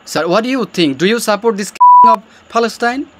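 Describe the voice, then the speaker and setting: High-voiced Bangladeshi man asking questions. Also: lively intonation, fairly quick, very noisy. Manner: questioning, nonstandard English phrasing. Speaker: channel host. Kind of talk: English practice conversation.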